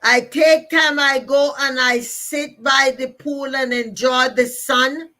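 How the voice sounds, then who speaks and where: A woman's voice: high-pitched, steady tone, takes it slowly, sharp tone. A woman with a Jamaican accent giving an emphatic, repetitive motivational talk to listeners. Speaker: motivational speaker.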